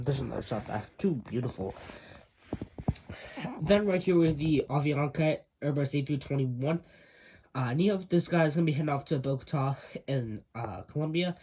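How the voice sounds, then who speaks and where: A man's voice: on the high side, a little hiss or hum. Congested young man giving a chatty tour. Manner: chatty with frequent ums and uhs. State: congested, stuffy voice. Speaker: model airport YouTuber. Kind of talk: casual video tour narration.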